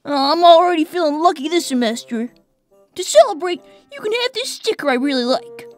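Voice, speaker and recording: An eager young cartoon fox boy acted by a woman, boyish and a little bashful. The voice is high and lively, with an earnest, hesitant delivery over music. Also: fairly quick. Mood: earnest, warm. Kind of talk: cartoon comic dub.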